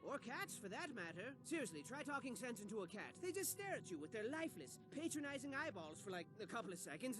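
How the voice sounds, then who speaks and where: Hammy male storyteller, extremely high voice, swooping delivery, mock-grandiose, flippant, comedic game narration. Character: excitable, theatrical, wisecracking male storyteller.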